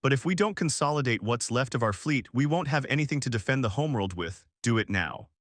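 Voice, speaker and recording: A man's voice: medium pitch, lively intonation, fast talker, no room sound, clean studio sound. A man reading as a grim, blunt alien admiral in a science fiction story, with formal, clipped military speech. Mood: snapping, commanding.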